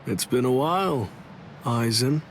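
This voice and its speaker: Wry, gravelly anime sword captain. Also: male voice, medium pitch, expressive, unhurried, slight background noise. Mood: coolly confident. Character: laid-back, wry male sword-fighting captain. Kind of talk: anime game dub acting. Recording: music behind the voice.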